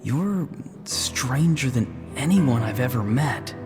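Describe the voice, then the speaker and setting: A man playing an earnest, determined young spellcaster in a video game, speaking deliberately. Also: mid-range voice, animated. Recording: long reverb, clear music behind.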